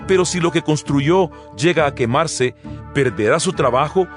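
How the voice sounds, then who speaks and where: A man's voice: a little high, natural voice. Solemn male apostle over music, read by an actor. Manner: solemn, measured, rhetorical delivery. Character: apostle Paul, earnest exhorting male letter writer. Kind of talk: dramatized Bible reading. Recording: music bed behind the voice.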